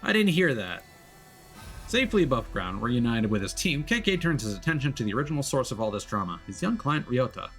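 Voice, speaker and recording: A man's voice: medium pitch, sing-song, fast, few pauses, soft background music. A man streaming a game and reading its story aloud as narration, sometimes stumbling and correcting himself.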